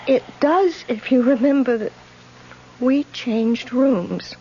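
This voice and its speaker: Frightened widowed landlady, slightly high. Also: slow, mellow. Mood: fearful. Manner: anxious, dramatic delivery. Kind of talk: dramatic radio acting. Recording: muffled old radio broadcast, faint noise.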